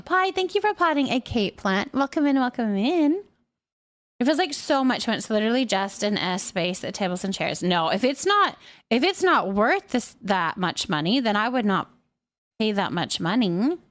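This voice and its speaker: High-voiced woman chatting on a livestream. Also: very animated, moderate pace. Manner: chatty, reads comments aloud and muses on them. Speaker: live streamer reading viewer comments. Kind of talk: casual livestream chat.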